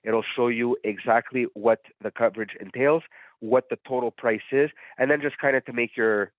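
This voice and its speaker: A man who is under the weather and sounds nasal, giving a relaxed, explanatory walkthrough of a booking platform with frequent fillers. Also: medium pitch, moderate pace, no echo. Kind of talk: live software demo walkthrough. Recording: dry, phone-like narrow sound.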